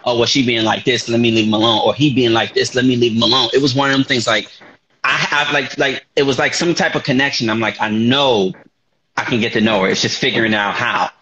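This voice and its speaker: A man in his thirties chats casually as a talk show host, stumbling over restarts and tossing in slang. His voice sits at an ordinary pitch and comes through a dull, lo-fi recording. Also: fairly even intonation, quick pace, bright, forceful tone, a little hiss or hum. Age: 30s. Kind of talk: casual chat.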